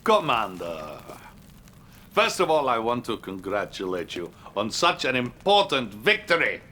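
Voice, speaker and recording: A man playing a grandiose, smoothly flattering Soviet premier with a Russian accent in a video game cutscene. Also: mid-range voice, a little hiss or hum, full character voice. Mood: pompous, pleased. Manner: slow, pompous oratory. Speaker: video game character actor. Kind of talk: dramatic game cutscene acting.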